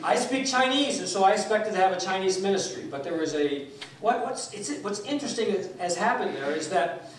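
A man's voice: sits high, animated, a little fast. A man in his sixties, raised in Taiwan, giving a guest sermon as a pastor, telling stories casually with many fillers and restarts. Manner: conversational, with frequent fillers and restarts, gesturing while speaking. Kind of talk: informal sermon storytelling. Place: church sanctuary, indoors. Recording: echoey church hall, distant mic.

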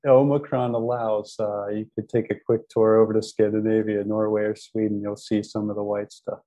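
An American man with a fairly low voice and little pitch movement chats as a podcast guest. He is friendly and fills his sentences with ums and small restarts. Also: flat delivery, normal speed, mellow, rough recording. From United States. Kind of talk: casual interview talk.

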